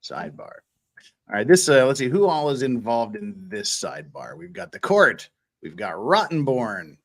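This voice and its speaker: A man's voice: mid-range voice, big pitch swings, normal speed. A man hosting a casual livestream, rambling and repeating words as he thinks aloud. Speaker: host of an online show.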